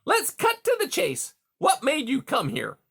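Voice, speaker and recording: A man's voice: very high voice, wildly swooping pitch, unhurried, vocal fry, fairly bright tone, voice pushed up, exaggerated voice. A man reading aloud, voicing a smarmy, hearty male beaver who chatters in folksy salesman sayings.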